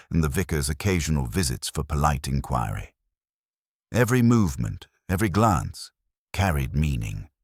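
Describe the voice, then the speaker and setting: A man reading a scripted mystery story in a measured, formal storytelling manner. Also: very deep voice, no room sound, clean studio sound. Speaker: audiobook narrator.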